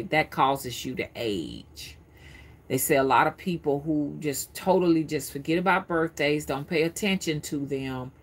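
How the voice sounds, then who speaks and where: A woman's voice: low-pitched, husky. A woman in her fifties talking casually on a live stream, rambling and often repeating her words as she goes. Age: 50s. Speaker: spiritual reader streaming live.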